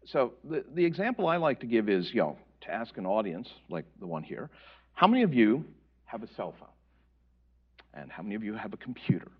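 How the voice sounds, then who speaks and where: Male panelist, lowish voice, lively pitch, dull lo-fi recording. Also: a little fast, slightly rough. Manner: animated, explanatory. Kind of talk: panel discussion. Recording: dull lo-fi audio.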